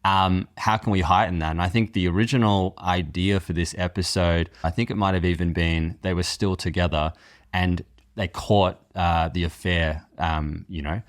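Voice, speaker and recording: A man's voice: low voice, steady tone, moderate pace. A man talking casually as a podcast guest and writer, informal and thinking aloud as he explains. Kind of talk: casual interview chat.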